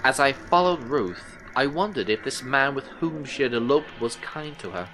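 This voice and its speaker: A man playing a troubled detective who narrates an eerie horror audio drama in the first person, in a measured, brooding way. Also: mid-range voice, animated, a bit bright, a little hiss or hum, close to an everyday voice. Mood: watchful, musing. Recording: music under the voice. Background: music soundtrack.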